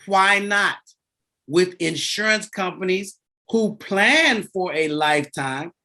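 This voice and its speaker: Man, fifties, high-pitched, bright forceful tone, animated podcast guest, emphatic. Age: 50s. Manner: animated, emphatic, repeats key words. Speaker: guest, insurance and debt author. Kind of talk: explaining finance in a podcast interview.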